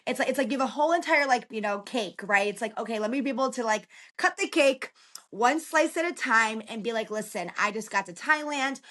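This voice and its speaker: Podcast guest: woman, 30s, from Chicago, high-ish voice, chatty and upbeat with filler words. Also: expressive, a little fast, bright, forceful tone. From Chicago, United States. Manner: chatty, lots of "like" fillers, encouraging. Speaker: podcast guest, relocation consultant. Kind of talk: casual interview talk.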